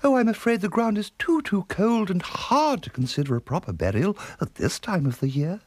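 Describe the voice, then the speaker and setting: A man's voice: high-pitched, sing-song, moderate pace, no echo, pitched above the performer's own voice. A man voicing a genteel, serene and dreamy elderly great-aunt in a comic story, cooing and unflappable. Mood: sweetly dismissive.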